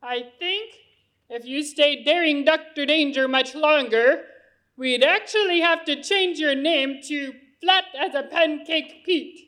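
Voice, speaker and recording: Woman voicing an earnest, high-pitched puppet friend. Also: unhurried, fairly bright tone. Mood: earnest teaching. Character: sensible, excitable puppet friend who explains lessons. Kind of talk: children's church puppet show.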